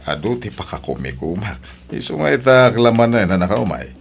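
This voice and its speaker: A man's voice: fairly low pitch, sing-song, brisk, gravelly, slightly rough, exaggerated voice. A man with an Ilocano accent playing a married lover in a theatrical audio drama. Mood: low, intimate. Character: Brando, a smooth, married lover who turns greedy and cruel. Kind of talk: melodramatic radio drama acting.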